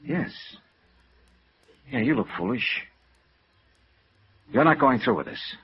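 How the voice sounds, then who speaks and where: An actor playing a steady, patient male investigator trying to talk someone down, in 1950s radio noir style. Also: mid-range voice, takes it slowly, gravelly, breathy edge, exaggerated voice. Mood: earnest, firm. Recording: dull lo-fi old radio recording.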